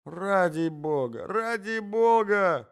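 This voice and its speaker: A man's voice: a little high, animated, moderate pace, darkish tone, lightly acted. A man playing a hapless, flustered fellow in a story who pleads obsequiously and babbles in a whine. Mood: pleading.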